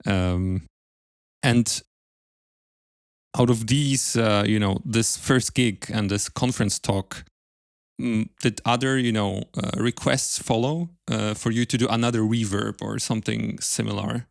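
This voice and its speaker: A man's voice: fairly low pitch, steady tone, unhurried, gravelly, no room sound, studio quality. A man hosting an interview podcast, speaking as presenter and interviewer. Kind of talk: podcast interview, with scripted sponsor read.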